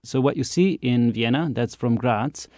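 Mellow-voiced Hungarian man, chatty guest. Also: medium pitch, normal speed, no room sound. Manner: chatty storyteller with many uh fillers. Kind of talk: conversational radio interview.

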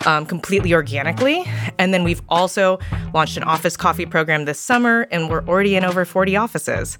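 A woman from California pitching her startup to investors, upbeat and earnest, with the odd "um". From California, United States. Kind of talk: business pitch.

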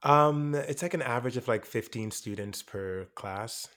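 A man born in Jamaica, an actor and teacher, talking over his experiences in a relaxed interview, with frequent fillers and restarts. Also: mid-range voice, normal speed. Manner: reflective, many fillers and restarts. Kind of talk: casual interview conversation.

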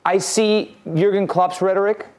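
A man's voice: sits high, steady tone, normal speed, a little mellow. A man hosting a podcast discussion, asking questions and building to emphatic points. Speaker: podcast host.

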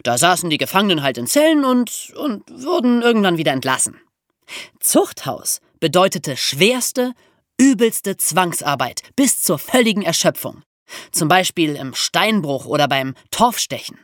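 Podcast history presenter, mid-pitched voice, lively intonation, dramatic storytelling emphasis, dry studio sound. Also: moderate pace, no room sound.

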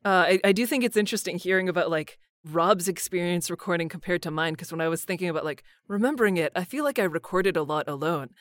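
A woman's voice: lowish voice, quick pace, few pauses, no echo. A woman chatting informally as a voice actor guest, often peppering sentences with filler phrases. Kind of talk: casual chat.

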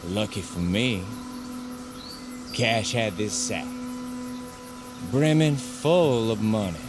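An actor playing a cruel, gloating Southern plantation owner with a menacing, mocking drawl in an audio drama. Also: male voice, lowish voice, takes it slowly, noisy background. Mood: gloating, cold.

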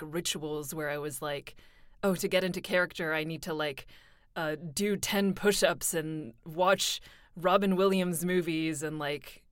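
A woman's voice: on the low side, no room sound, studio quality. Chatty young woman, laughing often. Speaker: voice actor guest. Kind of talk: casual interview chat.

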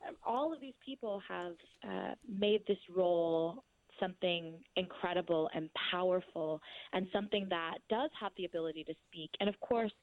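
A woman's voice: on the low side, normal speed, no echo. Earnest woman on a phone line. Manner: earnest and reflective. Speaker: stage actress. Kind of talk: casual interview chat.

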